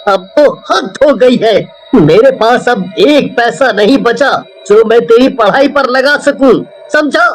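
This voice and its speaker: A man's voice: very high voice, slow pace, heavily acted, rough recording. An actor playing a fed-up middle-aged father scolding his son in a cartoon story. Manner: scolding, exasperated.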